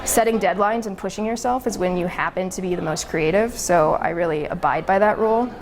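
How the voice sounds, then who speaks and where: A woman's voice: lowish voice, brisk. A woman filmmaker answering interview questions.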